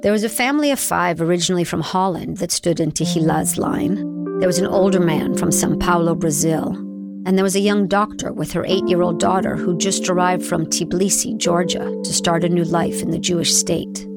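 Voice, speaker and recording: A woman with a lowish, gravelly voice narrates a documentary story. She speaks quietly and reflectively, and loud music runs beneath her. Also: brisk. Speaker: journalist narrator. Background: music bed.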